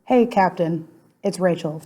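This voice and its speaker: A woman with a scratchy, hoarse voice giving an upbeat prepared hockey preview as a contributor. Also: on the low side, unhurried, warm tone.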